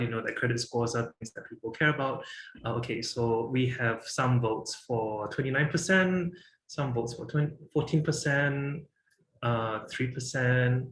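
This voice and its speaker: A man's voice: mid-range voice, fairly bright tone. Man, 30s, presenting, lots of fillers and restarts, echoey room, faint hiss. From Singapore. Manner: halting, many fillers and restarts. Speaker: guest speaker.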